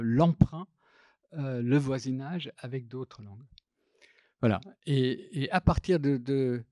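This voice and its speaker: A man speaks in a mellow, dark-toned voice at an ordinary pitch, the sound dry and close with no room around it. French is not his first language. He gives his public talk in a halting, thoughtful way and often pauses on fillers and restarts his phrases. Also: no room sound. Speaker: visual artist and typographer. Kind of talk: public talk, telling an anecdote.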